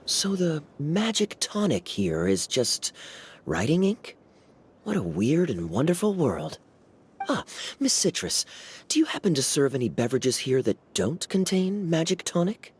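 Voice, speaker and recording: Suave, theatrically swooping young knight. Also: male voice, a little high, huge pitch swings, a little slow, a little hiss or hum. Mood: bemused. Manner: smooth, playful, drawling. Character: suave, teasing young knight with a roguish streak. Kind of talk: anime-style video game voice acting.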